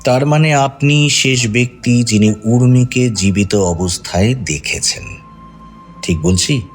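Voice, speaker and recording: A man's voice: mid-range voice, measured pace, slightly rough. A sly interrogating detective in a suspense audio drama. He is pointed and theatrically insinuating, with music running beneath his voice. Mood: pressing. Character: sly, probing male detective questioning suspects. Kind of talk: Bengali audio drama acting.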